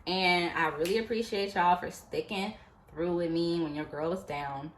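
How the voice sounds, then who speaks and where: A woman in her late twenties talking to her YouTube viewers in a casual, animated, chatty way, full of fillers. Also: lowish voice, normal speed, a little hiss or hum. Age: late 20s. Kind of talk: casual motivational vlog. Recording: echoey room, faint ambience.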